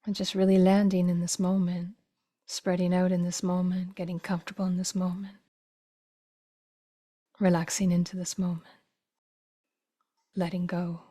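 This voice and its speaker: A woman guiding a meditation in a soft, breathy voice that often drops toward a whisper, with slow, gentle phrases and long pauses. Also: on the low side, monotone. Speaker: meditation teacher. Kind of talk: guided meditation.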